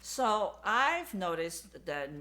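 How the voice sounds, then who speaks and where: A woman hosts a relaxed interview, her voice bouncing up and down with animated intonation. She is chatty and eager, often tripping over and restarting her questions as she goes. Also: medium pitch, very slow. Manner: chatty, enthusiastic, stumbles on questions. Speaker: host. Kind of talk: casual interview.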